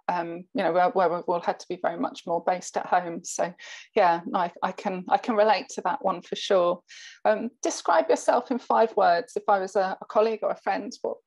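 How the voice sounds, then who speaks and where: A woman's voice: lowish voice, gravelly. A woman hosting an interview, encouraging her guest with frequent short affirmations. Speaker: interviewer, host. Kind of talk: conversational interview.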